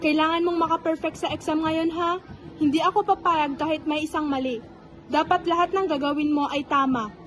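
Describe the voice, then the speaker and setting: A woman's voice: sits high, fairly even intonation, a little fast, soft background music, natural voice. A woman playing a demanding mother who pushes her son to be perfect, nagging and insistent. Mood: stern. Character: strict demanding mother of a grown son, bitter.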